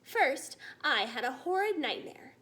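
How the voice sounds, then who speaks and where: A woman's voice: high voice, big pitch swings, measured pace, sharp tone, exaggerated voice. A woman voicing an unflappable, commanding queen in a storybook, matter-of-fact and regal. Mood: composed, brisk.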